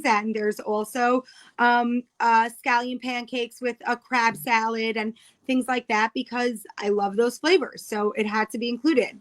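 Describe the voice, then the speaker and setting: A woman in her thirties from New York with an American accent speaks in a fairly high voice. She is an interview guest who chats casually and explains things in careful detail. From New York, United States. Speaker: guest, cookbook author.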